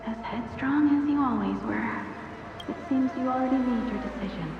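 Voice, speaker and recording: A proud, solemn young noble from a fantasy game, acted by a woman, formal and fairly high. The voice rings through a big echoing hall with noise around it. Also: moderate pace, close to an everyday voice. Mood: reproachful. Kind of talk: dramatic video game voice acting. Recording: echoing hall, muffled, noisy.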